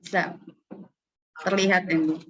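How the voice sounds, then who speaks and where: A woman's voice: on the low side, fairly even intonation, a little slow, a bit bright. A woman teacher and guest on a webinar, giving polite, short answers. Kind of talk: brief replies in a webinar. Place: video call. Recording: dull lo-fi call audio with faint noise.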